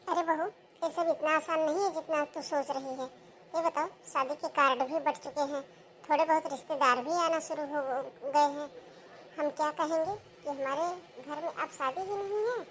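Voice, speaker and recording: A woman's voice: sits high, moderate pace, fairly bright tone, a little hiss or hum, low-quality recording. A woman voicing a greedy, demanding middle-aged mother-in-law in a cartoon. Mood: worried. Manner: demanding, grasping. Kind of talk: Hindi cartoon voice acting.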